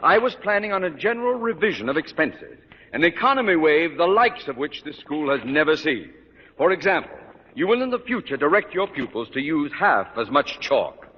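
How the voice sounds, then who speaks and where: An actor playing a pompous, irascible middle-aged school principal who scolds in formal, blustering speeches in a vintage radio sitcom. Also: male voice, big pitch swings, moderate pace, slightly rough. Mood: pompous, indignant. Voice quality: blustering, booming delivery. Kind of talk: 1950s radio sitcom acting. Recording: muffled old radio recording, noisy, reverberant.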